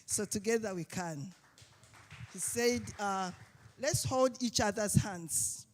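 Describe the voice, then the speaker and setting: A speaker from Uganda, giving a halting panel talk with many fillers and restarts. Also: medium pitch, slight background noise. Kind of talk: summit panel speech.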